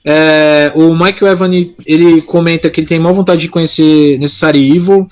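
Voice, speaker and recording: Higher-pitched São man, rambling casually. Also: steady tone, normal speed. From São Paulo, Brazil. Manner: informal, rambling, self-interrupting. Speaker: podcast co-host. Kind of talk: casual live chat, answering listeners.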